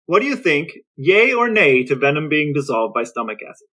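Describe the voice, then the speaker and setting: A man co-hosting a podcast, sharing facts in a dry, deadpan way during banter. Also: on the high side, a little fast. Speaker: podcast co-host.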